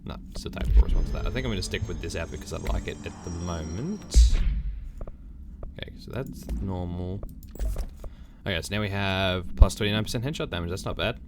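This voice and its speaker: A man with a hoarse, croaky voice from a cold, casually thinking out loud as he plays a video game. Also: deep voice, fairly quick, over loud music. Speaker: gameplay channel host. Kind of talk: casual let's-play commentary.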